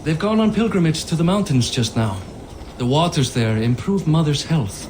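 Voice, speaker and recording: A man's voice: fairly high pitch, lively intonation, moderate pace, darkish tone, slight background noise, close to an everyday voice. An actor playing a boisterous, proud middle-aged Viking king in a video game cinematic, hearty and boastful as he declaims.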